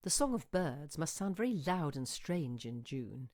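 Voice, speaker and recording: A woman reading a literary short story as a storyteller, with measured, expressive phrasing and deliberate pauses. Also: deep voice, dry recording, studio quality. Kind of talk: dramatic literary narration.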